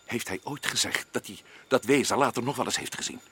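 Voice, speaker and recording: A man's voice: medium pitch, fairly quick, pushed and bright, breathy edge. An actor playing a grim, guarded male adventurer recounting events in a radio drama. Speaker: narrator and lead character Paul.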